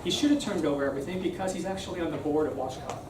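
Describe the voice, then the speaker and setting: Man, explanatory public speaker, conversational, slightly high voice, distant mic, background noise. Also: rapid-fire, echoey room. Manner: explanatory, conversational, often seeks agreement with "right?". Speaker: speaker giving a civic talk. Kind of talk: informal public talk with audience questions. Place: room at a live meeting.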